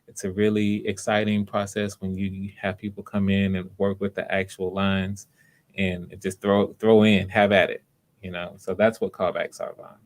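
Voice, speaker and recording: Male host and casting director, deep-ish voice, flat delivery, low-key and hesitant, casual livestream talk. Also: moderate pace.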